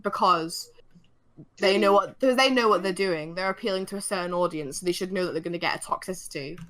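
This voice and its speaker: A woman's voice: on the low side, quick pace, fairly bright tone. A young British woman chatting casually and telling stories on a podcast voice call, blunt and full of swearing. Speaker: podcast co-host. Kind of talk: casual chat, animated storytelling. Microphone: voice call over Discord.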